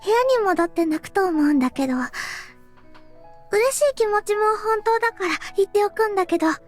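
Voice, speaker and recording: A woman voice-acting a gentle, empathetic younger sister who hides her heartbreak in a Japanese visual novel. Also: very high voice, rapid-fire. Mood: tearful, selfless. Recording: game audio with music behind.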